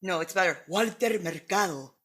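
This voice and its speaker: A woman's voice: on the low side, animated, normal speed, sharp tone, slightly rough. A woman comedian from Miami, talking casually as a podcast guest, brash and joking with plenty of swearing. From Miami, USA. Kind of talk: casual chat.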